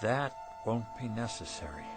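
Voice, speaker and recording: Struggling shopkeeper husband, played by a man, world-weary and emphatic, very animated pitch, radio drama over faint music. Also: moderate pace, close to an everyday voice. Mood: skeptical, wary.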